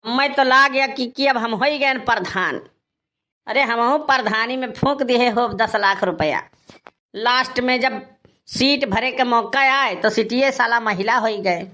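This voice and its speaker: A man's voice: very high voice, sharp tone, exaggerated voice. A man playing an aggrieved, boastful village husband in a cartoon comedy, grumbling and scolding with a rustic eastern Uttar Pradesh accent. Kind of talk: Awadhi cartoon comedy dialogue.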